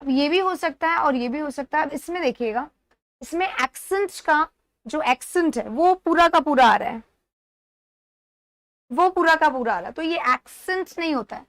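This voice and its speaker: A woman's voice: high-pitched, lively intonation, moderate pace, fairly bright tone, dry recording. A woman with an Indian accent teaching an exam-prep lesson step by step, repeating key words and checking that students follow. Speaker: English teacher.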